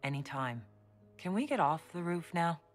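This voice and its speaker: An actress playing a composed, gently wry female warrior hero in a fantasy video game, speaking in a measured, plain way. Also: fairly low pitch, animated, soft background music, close to an everyday voice. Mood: calm, curious.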